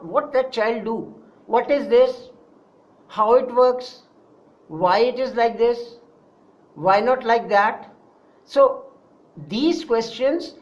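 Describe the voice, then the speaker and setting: A Pakistani man with a Pakistani accent, an English teacher giving an earnest online spoken-English lesson, repeating key points and speaking straight to his students. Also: high voice. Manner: earnest, repetitive, addresses listeners directly. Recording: dull lo-fi recording.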